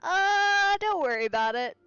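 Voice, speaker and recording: A woman's voice: extremely high pitch, expressive, measured pace, a bit bright, dull audio. A woman giving casual let's play commentary on a video game, with playful, dry asides. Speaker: game streamer. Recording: game music behind the voice.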